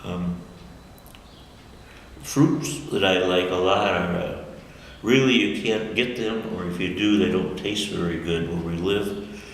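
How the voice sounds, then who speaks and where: A man's voice: sits low, slow, pauses often. An older man from the United States, answering interview questions in a rambling, self-correcting way and chuckling often. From United States, Michigan. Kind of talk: casual conversational interview. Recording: echoey room with long reverb and faint noise.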